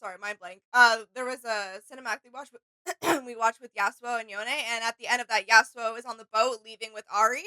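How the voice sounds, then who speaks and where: A woman's voice: on the high side, steady tone, fairly quick, bright, forceful tone, no room sound, low-quality recording. A woman co-hosting a reaction video, giving casual fan commentary and often searching for her words.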